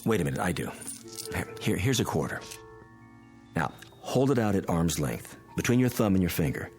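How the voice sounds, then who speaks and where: Analytical scientist, low voice, very expressive pitch, deliberate explaining, radio drama, music behind. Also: male voice. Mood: explaining, intent. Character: calm analytical male physicist friend explaining a mystery. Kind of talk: modern radio drama acting.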